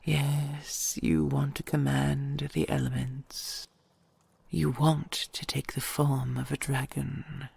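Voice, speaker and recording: An ancient dragon queen played by a woman murmurs in a slow, heavily creaking voice, very breathy and husky. She is imperious yet doting, with a possessive tenderness in a regal, formal manner. It is an intimate audio roleplay performance, close and dry. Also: very deep voice, level tone, dry recording, heavily acted. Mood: adoring. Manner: slow, regal, formal endearments with soft sighs.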